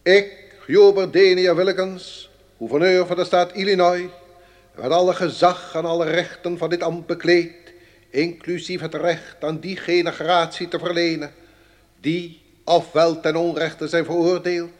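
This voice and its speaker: An actor playing a pompous state governor in a radio drama, solemnly declaiming an official proclamation. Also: male voice, a little high, little pitch movement, measured pace, lightly acted.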